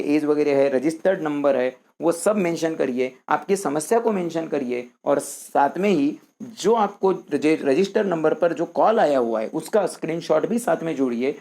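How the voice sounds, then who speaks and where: A man's voice: fairly high pitch, darkish tone. A man hosting an explanatory tutorial, talking instructively to viewers as friends and repeating his points. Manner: repetitive, instructive, addresses listeners as friends. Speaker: host of a quiz-show guidance video.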